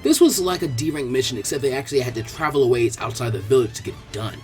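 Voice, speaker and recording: A man reading fan fiction aloud in expressive scripted narration. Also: medium pitch, fast, soft background music.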